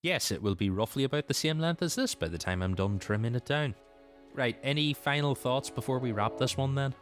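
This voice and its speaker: A man's voice: mid-range voice, lively intonation, fairly quick, soft background music. A man hosting a podcast in a casual, conversational style. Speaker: podcast host.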